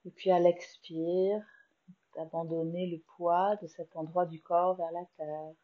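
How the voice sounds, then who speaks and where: Casting: French woman, meditation teacher, very slow calm delivery, slightly muffled lo-fi sound. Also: lowish voice. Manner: slow, soft, soothing guidance with long pauses. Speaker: yoga nidra teacher. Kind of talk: guided meditation.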